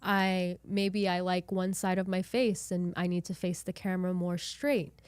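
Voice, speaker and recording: A chatty, expressive woman from Hawaii with a light Hawaiian accent, presenting tips casually in a podcasting webinar. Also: lowish voice, a little slow, clean tone, dry recording, clean studio sound.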